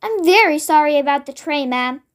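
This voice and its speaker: Storybook part: a nervous, deferential old maid, very high, read by a child. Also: expressive, normal speed. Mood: shaken. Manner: deferential, says ma'am constantly. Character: oldish female royal maid, nervous and deferential.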